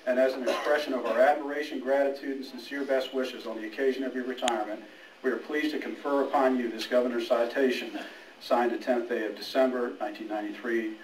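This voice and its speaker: A middle-aged man giving an informal retirement speech as a police major, speaking haltingly in an anecdotal way. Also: medium pitch, steady tone, a crowd in the background. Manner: halting, anecdotal, with quoted dialogue. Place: indoor room at a retirement party. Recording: echoey room, distant mic, background noise.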